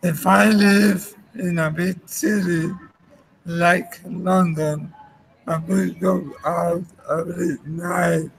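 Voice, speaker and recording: A man student in an online class, reading sentences aloud very slowly and haltingly. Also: high voice, level tone, creaky, slight background noise, low-quality recording.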